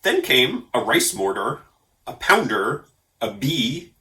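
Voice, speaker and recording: A man reading a story aloud as an expressive narrator. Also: medium pitch, takes it slowly, rough recording. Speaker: storyteller for a library story program.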